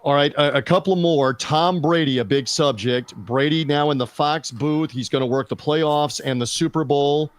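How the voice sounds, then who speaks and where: A man co-hosting a sports media podcast, chatting briskly and tossing questions to his guest. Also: on the high side, no echo. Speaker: podcast co-host.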